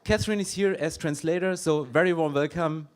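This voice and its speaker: A pastor welcoming guests to his church, switching between German and English with quick apologetic asides. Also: on the high side. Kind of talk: welcome speech at a church event. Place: church hall.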